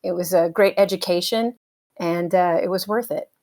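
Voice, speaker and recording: Middle-aged woman, thoughtful and unhurried. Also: fairly low pitch, darkish tone. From United States. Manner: thoughtful, with frequent fillers and light laughs. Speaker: interview guest, helicopter pilot. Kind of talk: casual conversational interview.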